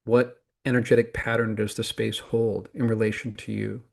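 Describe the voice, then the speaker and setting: A man guiding a meditation as a teacher, speaking calmly and deliberately in short phrases with pauses between them. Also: on the low side, creaky, husky. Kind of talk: guided meditation instruction.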